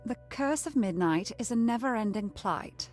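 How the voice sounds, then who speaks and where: An actress voices a refined student from a visual novel, a young woman with a fairly high voice. Her delivery is prim and formal, with ladylike politeness as she probes. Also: expressive, normal speed, darkish tone, soft background music, lightly acted. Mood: refined, curious.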